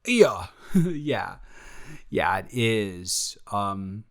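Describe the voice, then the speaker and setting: A young man playing a sheepish, playfully defensive roommate in an improvised romantic audio roleplay, talking casually in halting, self-interrupting rambles and answering his own questions. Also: on the low side, sing-song, full character voice. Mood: bashful, teasing.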